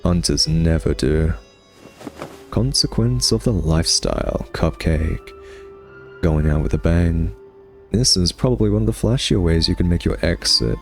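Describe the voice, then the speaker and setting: A smug vampire acted by a man speaks in a low male voice with a sardonic, teasing drawl. He toys with his captive nemesis in a conversational ASMR roleplay, with faint music behind him. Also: animated, a little slow, vocal fry, full character voice.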